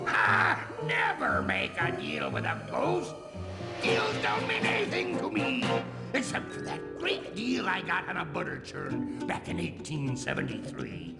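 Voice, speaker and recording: A man voicing a blustering, melodramatic old ghost pirate captain in a cartoon game, gravelly and theatrical. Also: a little high, wildly swooping pitch, unhurried, loud music behind the voice, heavily acted. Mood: smug. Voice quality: gravelly, creaky. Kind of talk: cartoon game character acting.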